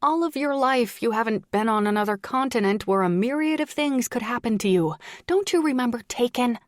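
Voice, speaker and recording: Woman as an anxious, sharp-tongued mother. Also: fairly high pitch, lively intonation, a little fast, no echo, clean studio sound, pitched above the performer's own voice, lightly acted. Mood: snappy, exasperated. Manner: sharp, emphatic, exasperated. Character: anxious, sarcastic mother of a grown daughter.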